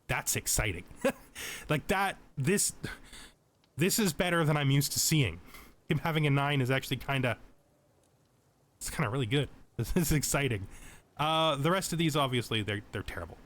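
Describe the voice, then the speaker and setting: A man's voice: mid-range voice, a bit bright. A man with a mid-range voice hosts a video game tutorial. He speaks casually, explaining systems step by step and thinking aloud as he makes his choices. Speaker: let's play host giving a game tutorial. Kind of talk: casual gaming tutorial commentary.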